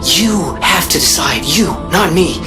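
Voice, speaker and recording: An actress playing an anxious, conscientious companion in a video game, with a hesitant, halting delivery. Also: female voice, lowish voice, animated. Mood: distressed, hesitant. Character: anxious, conscientious companion who trusts the hero. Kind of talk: video game cutscene acting. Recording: loud music over the voice.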